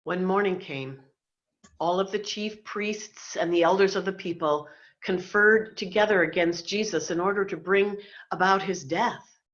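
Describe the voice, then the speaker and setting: A middle-aged woman with a fairly low voice reads scripture dramatically, as a pastor would. The sound is slightly muffled and lo-fi, coming over a video call. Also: measured pace. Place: indoor room, on a video call. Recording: dull lo-fi video call audio.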